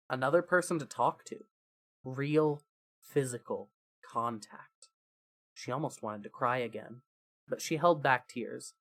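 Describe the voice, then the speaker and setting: A narrator reading scripted fan fiction as a dramatic story, with deliberate pauses. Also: medium pitch, sharp tone.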